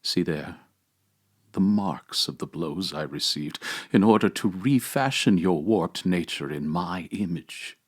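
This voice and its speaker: A man's voice: sits low, animated, measured pace. A man voicing a majestic, commanding yet tender Christ among the dead in a solemn, declamatory dramatic reading of a homily. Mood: grave, tender.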